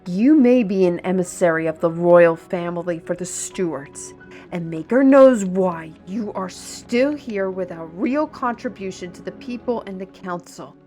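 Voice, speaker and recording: A woman playing a proud, fiery, defiant artisan steward in a fantasy audio roleplay, formal and deliberate. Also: lowish voice, lively intonation, mellow, faint music behind. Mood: indignant.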